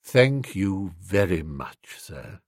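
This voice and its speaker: A male reader plays an unruffled British valet in a storybook. His voice drops lower and softer, formal and deferential in every measured phrase, recorded dry. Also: big pitch swings, vocal fry, dry recording, heavily acted. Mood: calm, deferential. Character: unruffled, deferential English manservant.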